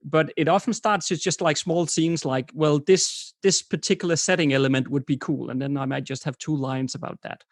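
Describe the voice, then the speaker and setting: A man's voice: a little high, steady tone, moderate pace, few pauses, no room sound. Danish guy on a headset, kinda hesitant, laughs a lot, dry sound. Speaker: guest author. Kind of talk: video call interview. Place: home room, indoors.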